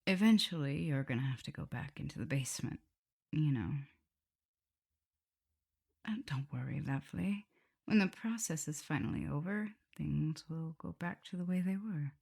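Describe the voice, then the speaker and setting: Woman acting a low, husky, tender vampire mistress. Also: heavily acted. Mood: soft, reassuring. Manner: soft, unhurried, tender, gently teasing. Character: affectionate, teasing female vampire mistress and professor, doting lover. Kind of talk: intimate ASMR roleplay addressed to the listener.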